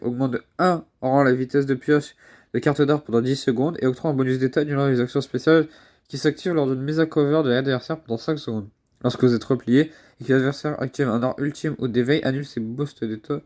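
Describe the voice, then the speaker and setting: Excitable young man with a cold. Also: mid-range voice. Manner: excitable, chatty, repeats words, swears under breath. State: congested with a cold. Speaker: mobile game YouTuber. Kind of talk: casual gameplay commentary.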